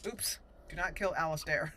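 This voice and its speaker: A woman hosting a gaming channel, chatting excitedly through her gameplay and cheering on the characters. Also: low-pitched. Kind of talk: casual let's-play commentary. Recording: noisy background.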